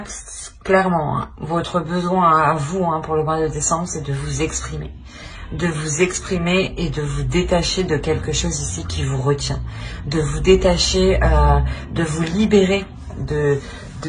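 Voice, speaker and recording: Low-pitched woman reading tarot, noisy recording. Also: steady tone, measured pace. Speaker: tarot reader, channel host. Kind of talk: improvised tarot reading addressed to the viewer.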